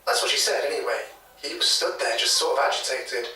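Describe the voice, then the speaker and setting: A man with a British accent playing a hesitant, gossipy local retelling a ghost story in a halting, chatty way for an audio drama. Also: medium pitch, bright, forceful tone, recorded from a distance. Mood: uneasy, earnest. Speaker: interviewee, former hotel worker. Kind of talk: naturalistic audio drama interview. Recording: echoey taped interview, off mic.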